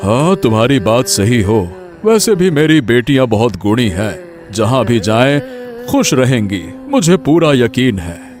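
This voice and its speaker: A man's voice: medium pitch, sing-song, a little slow. A man playing a principled old village father in a story, speaking earnestly and emphatically. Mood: worried, hopeful. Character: worried, principled old village father, indignant when threatened. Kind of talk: Hindi audio story dramatic acting.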